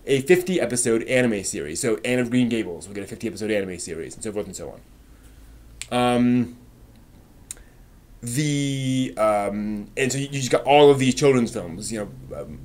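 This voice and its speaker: Halting male live-stream host, thinking aloud. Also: medium pitch, darkish tone. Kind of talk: casual live-stream chat about anime, answering viewers' comments.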